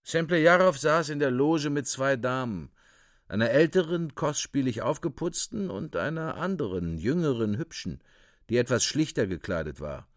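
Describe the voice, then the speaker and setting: A man's voice: medium pitch, expressive, moderate pace, no room sound, clean studio sound. A man narrating a radio drama from a script as a storyteller.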